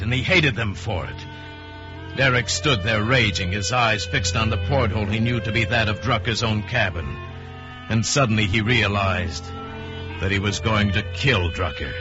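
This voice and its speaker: A man giving dramatic scripted narration for an old-time radio drama. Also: fairly low pitch. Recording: dull vintage radio recording, music behind.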